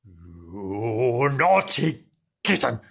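Woman voicing a slow, scolding animal companion. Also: rumbling low, big pitch swings, vocal fry, husky, voice pushed down, full character voice. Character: sensible, scolding older animal companion, impatient with kitten. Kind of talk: children's storybook read aloud.

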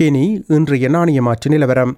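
A man reading a scripted news bulletin as presenter, in a formal, measured manner. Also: medium pitch, steady tone, over loud music.

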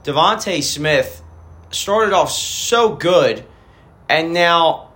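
Man, podcast host, raspy voice, rambling casual talk, slightly high pitch. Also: expressive, very slow, a bit bright. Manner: rambling, frequent restarts and fillers. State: raspy voice after a weekend party. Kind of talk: casual fantasy football chat.

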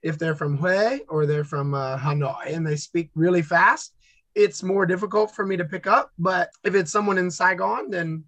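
An upbeat man talks casually as an interview guest, telling stories with animation. His voice sits high and rings bright. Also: normal speed, no echo. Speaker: guest content creator.

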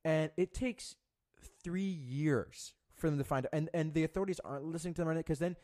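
A man's voice: on the high side, brisk, dark tone. A young man in his twenties co-hosts a casual podcast. He tells a long story loosely, stumbling over restarts and swearing freely. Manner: profane, rambling storyteller with many restarts. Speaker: podcast co-host. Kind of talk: casual chat.

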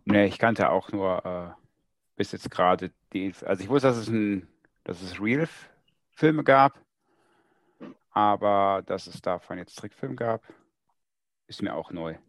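A man's voice: deep voice, takes it slowly, pauses often, no room sound. A man chatting casually as a panellist on a podcast. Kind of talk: casual chat.

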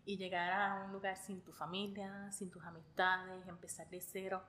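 A woman's voice: medium pitch, flat delivery, normal speed, pushed and bright, a little hiss or hum. A woman from Puerto Rico with a Puerto Rican accent, casually telling her own story as a guest.

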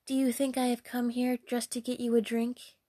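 A woman's voice: fairly high pitch, flat delivery, normal speed, mellow, dry recording, studio quality, voice pushed up, lightly acted. A woman voicing a rude, arrogant young girl in a fairy tale, sulky and sarcastic. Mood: arrogant sarcasm. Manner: sneering, sarcastic.

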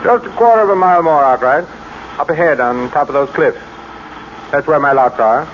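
A man's voice: on the high side, brisk, lightly acted. Actor as a curt, scheming businessman, old radio. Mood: smooth, quietly menacing. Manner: curt, commanding. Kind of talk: old-time radio drama acting. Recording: muffled, noisy old radio recording.

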